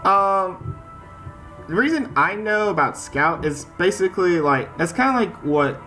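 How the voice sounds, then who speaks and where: Animated American man over game music. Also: high voice, unhurried, low-quality recording. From United States. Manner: informal, stammering, repeats words. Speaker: gaming video host. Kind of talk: casual let's-play commentary, reading game text aloud. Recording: music behind the voice.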